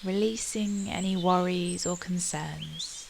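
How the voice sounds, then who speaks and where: A woman guides a meditation with a calm, soothing delivery. Her voice sits a little low and she speaks very slowly, leaving long pauses between phrases. Clear birdsong and outdoor nature ambience run behind her. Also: slight background noise.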